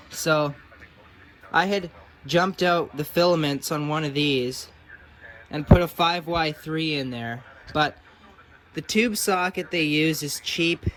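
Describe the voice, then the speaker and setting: A man talking casually and off the cuff, in an offhand, self-deprecating way, as he narrates a hands-on repair. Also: fairly high pitch. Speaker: hobbyist radio repairer presenting own video.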